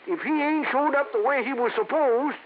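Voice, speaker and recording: A man acting a folksy, excitable old rustic sidekick with a rural Southwestern American accent and stammering repeats, 1950s radio style. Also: moderate pace. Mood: worried. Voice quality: high-pitched character voice. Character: folksy, excitable old male country sidekick, suspicious and loyal. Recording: noisy, narrow-band old radio recording.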